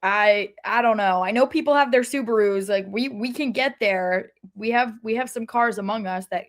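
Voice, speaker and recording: A woman's voice: a little high, moderate pace. An American woman talking casually as co-host of a sports podcast.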